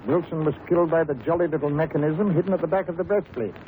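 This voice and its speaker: An actor playing a self-assured, wry gentleman detective with a British accent and a clipped manner, in 1930s radio drama style. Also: a little high, fast talker, lightly acted, low-quality recording. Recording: hissy 1930s radio recording.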